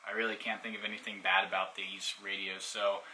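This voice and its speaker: A man's voice: low voice, flat delivery, a little fast, bright, forceful tone, slight background noise, poor audio. A man giving a casual hands-on product demonstration in an informal, explanatory way. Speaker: product reviewer.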